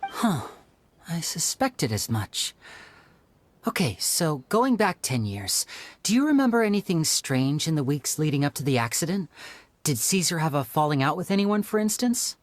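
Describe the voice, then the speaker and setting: A performer voicing a charming, smooth young male magician in a video game, with a polite, persuasive manner. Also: a little high, big pitch swings, normal speed, no room sound. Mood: probing.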